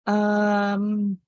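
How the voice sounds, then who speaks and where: A woman running a yarn shop livestream, chatting casually with viewers, sniffly and a little raspy from a cold. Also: medium pitch, a little mellow, no echo, muffled recording. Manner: chatty, reads out viewer comments by name, many ums. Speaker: yarn shop owner hosting a weekly livestream.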